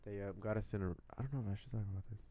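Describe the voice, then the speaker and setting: A man's voice: on the low side, rapid-fire. A young man from Puerto Rico, co-hosting a podcast and telling stories casually in blunt language full of swearing. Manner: blunt, profane, animated retelling with quoted asides. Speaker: podcast co-host. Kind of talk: casual chat, storytelling.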